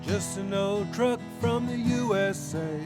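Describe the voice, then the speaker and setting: A woman's voice: medium pitch, takes it slowly. A woman singing a folk song for children. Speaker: singer. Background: loud acoustic guitar music.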